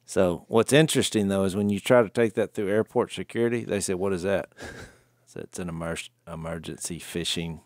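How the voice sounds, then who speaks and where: A middle-aged man drops dry, joking remarks into the talk. His voice sits a bit low and sounds close and dry on a studio mic. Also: brisk, a little mellow, no room sound. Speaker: podcast co-host. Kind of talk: casual chat. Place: wood-paneled studio room. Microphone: studio mic on boom arm, headphones.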